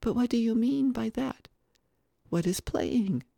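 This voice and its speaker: A woman voicing a gentle, sheltered little rich boy in a storybook, softly and with prim politeness. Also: a little high, animated, unhurried, creaky, no room sound, clean studio sound, pitched above the performer's own voice, heavily acted. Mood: puzzled, polite. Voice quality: soft, small child's voice.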